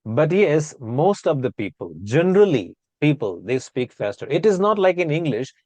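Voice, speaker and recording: A man with an Indian accent teaching English, talking quickly and flowing on with few pauses. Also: on the high side, very animated, a little mellow, no echo. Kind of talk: conversational accent lesson.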